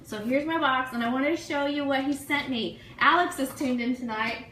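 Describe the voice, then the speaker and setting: A woman with a bright, fairly high voice hosts a casual livestream show. She chats in an effusive, excitable way, gushing through shout-outs to sponsors and listeners. The sound has the echo of a live room. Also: moderate pace. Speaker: online radio show host. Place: room, live social media stream. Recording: echoey room, faint noise.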